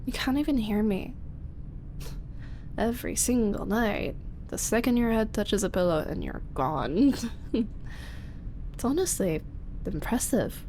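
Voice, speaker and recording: A woman playing a flustered roommate, slow and soft. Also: a little high, animated, a little hiss or hum. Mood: fond teasing. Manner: soft-spoken, drifting into whispers. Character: flustered young woman roommate, secret ASMR creator. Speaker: voice actress in audio roleplay. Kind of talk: ASMR roleplay.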